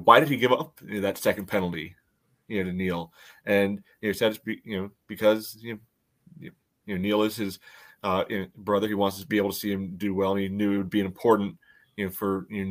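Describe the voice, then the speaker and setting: A man co-hosting a podcast, giving casual sports analysis in a halting way full of verbal filler. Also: lowish voice. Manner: halting, peppered with "you know". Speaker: podcast co-host.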